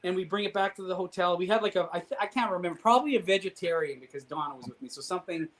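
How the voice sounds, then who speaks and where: A man with a fairly high voice, a guest musician in a casual chat, telling stories in a rambling, animated way full of restarts and laughter. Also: racing.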